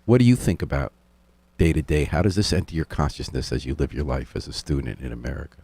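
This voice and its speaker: Very deep fast-talking radio host. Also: male voice, mellow, slightly rough, dry recording. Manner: conversational, prodding guests, self-correcting. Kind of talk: radio interview. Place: radio studio. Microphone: studio microphone.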